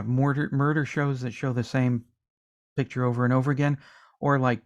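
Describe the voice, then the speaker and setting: A man's voice: medium pitch, gravelly. A man co-hosting a casual music podcast, joking around and reading out listener comments. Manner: jokey, reads listener comments aloud. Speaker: podcast co-host.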